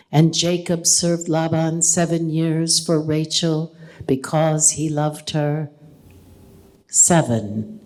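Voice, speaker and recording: A woman reading an English translation of a poem aloud in a calm, measured way at a live reading. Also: deep voice. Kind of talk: poetry reading. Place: gallery reading event.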